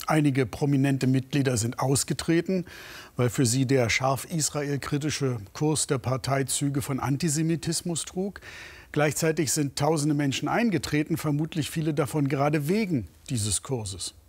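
Slightly husky male news presenter. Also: a little high, a little mellow. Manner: brisk, fluent questioning. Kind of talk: news interview.

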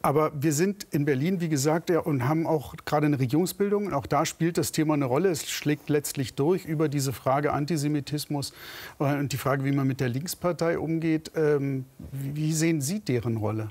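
A man presenting a TV news program, interviewing a guest with brisk, fluent questions. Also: on the high side, a little mellow.

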